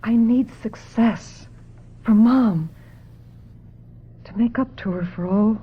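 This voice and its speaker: A woman's voice: a little high, takes it slowly, slightly rough. A woman playing a naive, weary young actress who tells her troubled story earnestly in a radio mystery drama. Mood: anxious, earnest. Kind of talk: dramatic radio mystery acting with first-person narration. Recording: dull lo-fi radio drama, music behind.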